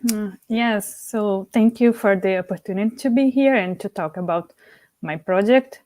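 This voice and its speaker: A woman's voice: mid-range voice, moderate pace, darkish tone. A young woman from Brazil with a Brazilian Portuguese accent, answering interview questions carefully with many "like" and "uh" fillers. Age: young adult. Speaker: graduate student guest.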